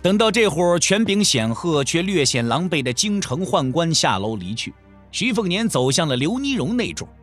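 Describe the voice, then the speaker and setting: A man narrates a wuxia tale in a fairly high voice that moves widely in pitch. Music plays under his scripted storytelling. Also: very animated, normal speed. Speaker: narrator of an audio drama.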